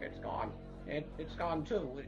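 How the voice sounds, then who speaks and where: A man's voice: on the high side, slow pace, highs cut off, loud music behind the voice. A man playing an agitated, impatient family man in a radio drama, stammering and incredulous. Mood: distraught.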